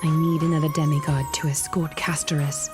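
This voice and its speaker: A woman playing a composed, stern noblewoman in a video game, speaking formally in measured, solemn phrases. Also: low voice, level tone, music in the background, lightly acted. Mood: grave, earnest. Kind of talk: video game character acting.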